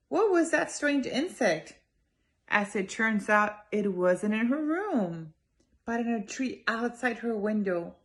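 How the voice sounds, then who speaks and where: Woman reading expressively to young children. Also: on the high side. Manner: expressive, emphatic, addresses young listeners directly. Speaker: judge reading a children's story. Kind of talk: storytime read-aloud to young children.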